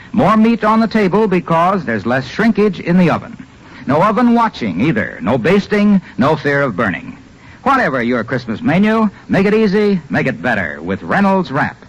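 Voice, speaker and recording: An American male announcer reading a fifties radio ad. His voice is high and animated with a husky grain, delivering a polished sales pitch on a muffled old broadcast recording. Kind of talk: 1950s radio advertising announcement.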